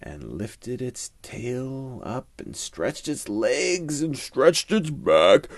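A man telling an improvised children's bedtime story in a playful, animated way, with dramatic pauses and hushed moments. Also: medium pitch, no room sound, clean studio sound.

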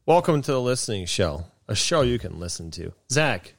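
A young man co-hosting a casual comedy podcast in a dry, close-miked sound. He rambles through his jokes with a deadpan edge, trips over his own words and restarts, keeping an easygoing middle pitch. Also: normal speed, creaky, no room sound. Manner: rambling, self-interrupting, dry joking. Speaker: podcast co-host. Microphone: desk mic with cameras.

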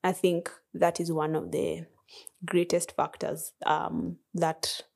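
A young woman in her twenties with a Kenyan accent, a podcast guest chatting casually, soft-spoken with frequent laughs and hesitations. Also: fairly low pitch, little pitch movement, takes it slowly, vocal fry. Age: 20s. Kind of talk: casual interview chat.

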